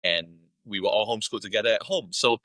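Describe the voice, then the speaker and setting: A man's voice: fairly low pitch, quick pace, pushed and bright, no room sound, low-quality recording. A middle-aged man with a Singaporean accent, speaking conversationally as an interview guest. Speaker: podcast guest, media entrepreneur.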